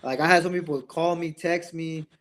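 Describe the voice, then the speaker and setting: A man's voice: on the high side, fairly even intonation, normal speed, fairly bright tone. A young man raised in Indiana with an American accent, talking casually as an interview guest and acting out bits of dialogue as he tells stories. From born in Mexico, raised in Indiana, United States.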